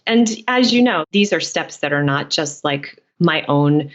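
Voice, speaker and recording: A woman's voice: deep voice, lively intonation, unhurried, dull audio. A woman speaking as a podcast guest in a conversational interview, explaining things in a reflective way. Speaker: podcast guest, dietitian and author.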